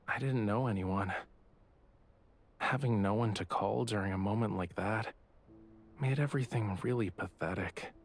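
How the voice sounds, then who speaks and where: An actor playing a self-assured yet quietly vulnerable young artist in a romance game, speaking softly and intimately with a teasing touch. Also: male voice, on the low side, normal speed, pauses often. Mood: reflective, subdued.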